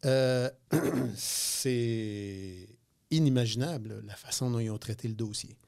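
Man, fifties, Quebec French accent, mid-pitched, animated, lots of hesitations. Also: takes it slowly, vocal fry. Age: 50s. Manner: animated, repeats words, many hesitations. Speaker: guest entrepreneur. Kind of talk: radio interview, casual storytelling.

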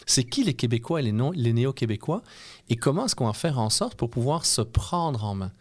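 A man's voice: medium pitch, very animated, a little fast. A middle-aged man from Belgium with a Belgian French accent, a guest in an interview explaining his ideas in an earnest, persuasive way. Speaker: guest speaker. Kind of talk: interview conversation.

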